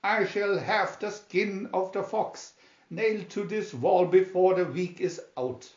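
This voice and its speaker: A man's voice: high voice, unhurried, a little mellow, roomy sound, voice pushed up. A man voicing a furious, boastful army commandant in a storybook, barking and scornful, in a dull room recording. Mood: angry, boastful. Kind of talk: storybook character voice.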